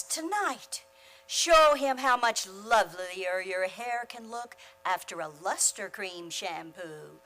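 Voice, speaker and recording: A woman playing an alluring announcer in an old-time radio advertisement, delivering an emphatic sales pitch. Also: a bit bright, rough recording. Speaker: commercial announcer.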